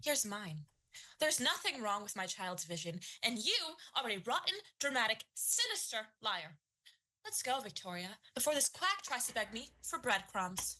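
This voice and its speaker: A woman's voice: fairly high pitch, sing-song, moderate pace, pushed and bright, heavily acted, poor audio. An actress playing an indignant, haughty mother in an audio drama, theatrical and scornful.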